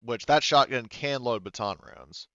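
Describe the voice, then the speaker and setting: A man's voice: medium pitch, measured pace, a bit bright, dry recording, lo-fi sound. A man casually running a tabletop game as game master, explaining rules in a hedging, explanatory way.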